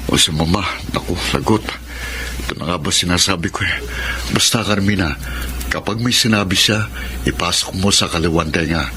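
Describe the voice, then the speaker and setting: A man's voice: low-pitched, moderate pace, long pauses, noisy background, lightly acted. A man playing a devoted, worried young husband and expectant father in a radio drama. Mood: concerned, soothing.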